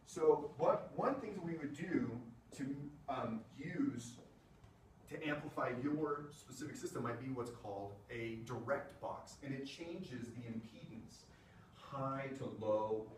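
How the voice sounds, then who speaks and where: A man's voice: medium pitch, measured pace. A man giving a casual classroom lecture on sound equipment, explaining with plenty of fillers and asides, recorded from across the room. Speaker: music technology presenter. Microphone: room mic from a distance. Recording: echoey classroom from far off, faint hiss.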